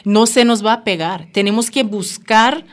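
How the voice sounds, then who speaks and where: Jamaican woman explaining with steady encouragement. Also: on the high side, moderate pace. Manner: encouraging, explanatory, frequent tag questions. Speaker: language school representative. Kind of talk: conversational interview.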